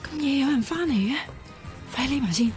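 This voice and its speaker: A woman's voice: high voice, brisk, over music, natural voice. Feisty Hong Kong Cantonese amateur sleuth played by a woman for radio drama, blunt, suspicious and exclamatory. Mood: sneaky, excited.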